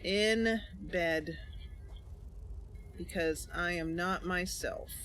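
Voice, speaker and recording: A woman's voice: on the low side, extremely slow, a bit bright. Chatty woman gamer over faint game noise. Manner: chatty, talking to viewers while playing. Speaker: gaming channel host. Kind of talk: casual gameplay commentary.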